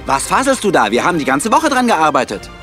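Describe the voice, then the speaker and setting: An actor playing a conceited, dramatic lion in an animated film radio play, theatrical and exclamatory. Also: male voice, fairly high pitch, fast, over loud music. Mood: prodding. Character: conceited, dramatic male lion, zoo star.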